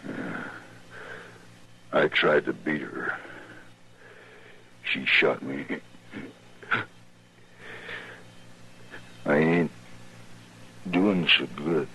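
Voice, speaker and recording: Gravelly, menacing frontier boss. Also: male voice, very deep voice, steady tone, very slow, long pauses, pushed and bright, heavily acted, poor audio. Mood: wounded, weak. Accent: American. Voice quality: gravelly, raspy. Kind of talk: 1950s western radio drama acting. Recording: old radio recording.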